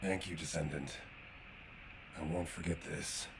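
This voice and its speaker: An actor playing a grave, devoted warrior in a sci-fi video game, speaking in an earnest, measured way. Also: male voice, extremely low pitch, fairly even intonation, creaky, noisy background, exaggerated voice. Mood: grateful, solemn. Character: grave, devoted male sci-fi warrior grieving lost comrade. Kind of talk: video game quest dialogue.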